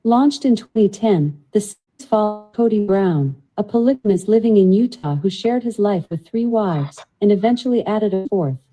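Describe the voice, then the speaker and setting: A synthesized female voice assistant reading out factual answers in an even, measured way. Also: mid-range voice, rough recording. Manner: even, measured, flat delivery of facts.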